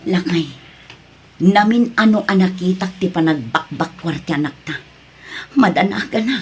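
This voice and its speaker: A woman's voice: lowish voice, lively intonation, normal speed, husky, faint music behind. A woman with a Filipino accent playing a suspicious, worried, strict mother in a family drama. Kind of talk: Filipino radio-style family drama acting.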